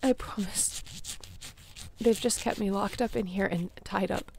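A woman's voice: mid-range voice, steady tone, measured pace, vocal fry, a little hiss or hum. A woman playing a resilient young apocalypse survivor in an audio roleplay, speaking intimately and earnestly with a wry edge. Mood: shaken, relieved. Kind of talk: dramatic audio roleplay addressed to the listener.

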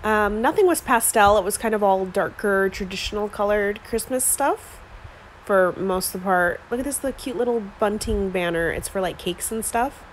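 A woman's voice: medium pitch, moderate pace, a little hiss or hum, low-quality recording. Chatty woman filming a store walk-through. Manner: chatty, lots of "like" fillers. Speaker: craft vlogger. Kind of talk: casual shop-with-me narration. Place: inside a store, walking the aisles. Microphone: handheld phone camera.

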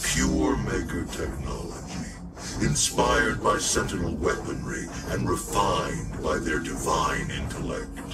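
An actor playing a grave, all-knowing guide in a demon-war video game, speaking in a solemn, measured, ominous manner. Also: male voice, sits low, animated, gravelly, recorded from a distance, loud music behind the voice, exaggerated voice. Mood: grave, informative. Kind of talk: scripted video game narration.

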